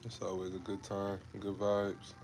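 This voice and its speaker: A man's voice: deep voice, completely flat delivery, unhurried, darkish tone, a little hiss or hum. A man in his twenties from Ohio, chatting casually with viewers on a fitness livestream, laid-back and repetitive, calling them bro and man. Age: 20s. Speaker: fitness creator hosting a livestream.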